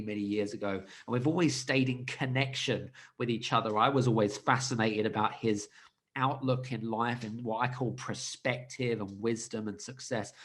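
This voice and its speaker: A man hosting a business podcast, introducing his guest with lively emphasis, loud greetings and halting restarts. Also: mid-range voice. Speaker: podcast host. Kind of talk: enthusiastic podcast interview.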